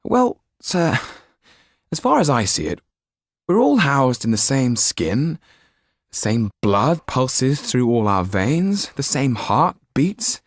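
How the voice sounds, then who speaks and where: A man's voice: mid-range voice, very animated, slow, no echo, clean studio sound, voice pushed up, heavily acted. A man voicing an earnest, passionate English youth of seventeen in an audiobook story. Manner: earnest, impassioned. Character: idealistic, passionate seventeen-year-old young man.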